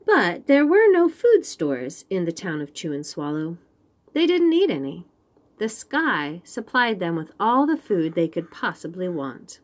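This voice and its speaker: A woman reading a picture book aloud to young children in an expressive way, with chatty asides and questions about the pictures. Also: on the low side. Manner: expressive, pauses to point out pictures and ask questions. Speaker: children's read-aloud host.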